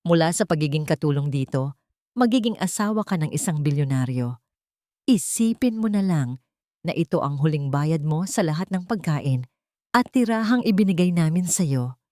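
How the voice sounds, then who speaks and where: A woman's voice: deep voice, expressive, normal speed, warm tone, no room sound, studio quality. A woman with a Filipino accent voicing a vain, spiteful young female cousin with a condescending manner in an audiobook drama. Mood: scornful. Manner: sneering, condescending.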